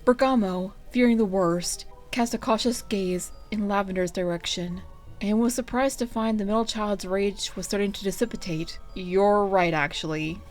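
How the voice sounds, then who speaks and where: A woman's voice: mid-range voice, normal speed, a little mellow. A woman reading scripted story narration aloud as the narrator. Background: music.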